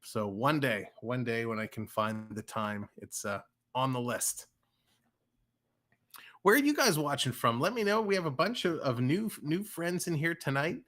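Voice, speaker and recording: A man's voice: mid-range voice, expressive, unhurried, fairly bright tone. A man from the Toronto area with a Canadian accent, hosting a livestream with casual play-by-play while chatting with viewers. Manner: chatty, reads chat aloud, laughs easily. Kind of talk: casual play-by-play with live chat.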